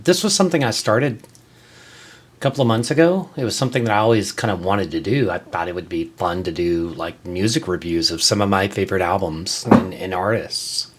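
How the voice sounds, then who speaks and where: A man with a fairly low-pitched voice talks as the host of a casual solo show. His delivery is unscripted and conversational. He halts and repeats words as he goes, then puts emphasis on his key points. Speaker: music show host and reviewer.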